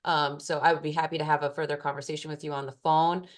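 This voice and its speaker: A woman's voice: sits low, level tone, fast. A woman, a food safety specialist, answering participants' questions in an online class in a casual way with many fillers.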